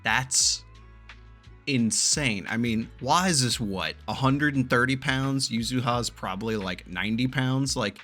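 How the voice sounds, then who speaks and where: A man giving casual, animated gameplay commentary as a streamer, swearing freely as he reacts. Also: medium pitch, unhurried, soft background music. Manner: animated, profane, reads game dialogue aloud.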